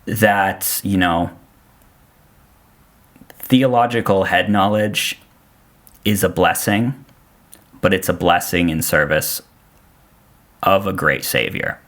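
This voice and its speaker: A man from Austria who grew up speaking German talks in a low-pitched voice. He is a podcast guest chatting casually, with a dry, self-deprecating way of joking. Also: takes it slowly, long pauses, fairly bright tone. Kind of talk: casual conversation.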